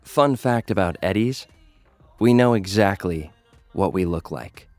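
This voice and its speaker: A young streetwise fighter and online star, telling his story in a deep voice over music. He is wry and slangy, with vivid audio-drama storytelling. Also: lively intonation, measured pace, darkish tone, dry recording. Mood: knowing, confiding. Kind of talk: first-person audio drama narration.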